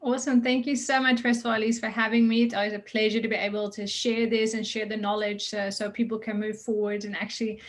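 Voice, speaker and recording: A woman's voice: medium pitch, flat delivery, fast talker, hardly any pauses. A woman with a mixed Dutch and South African accent, talking earnestly as a coach on an interview podcast, with frequent ums and restarts. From Netherlands. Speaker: results coach guest.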